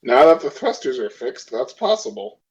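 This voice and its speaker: A man's voice: on the high side, very animated, normal speed, creaky, darkish tone. A man playing a tabletop role-playing game with casual banter, heard on dull lo-fi audio.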